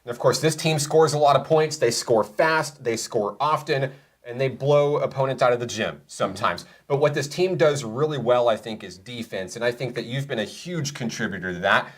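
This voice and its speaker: A man's voice: mid-range voice, moderate pace. A man hosting a college sports broadcast, interviewing a player with a polished announcer delivery.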